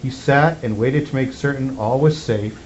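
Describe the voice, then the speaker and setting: A man playing Santa Claus reads a children's storybook aloud in an expressive, measured way. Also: mid-range voice. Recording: muffled lo-fi sound with faint background noise.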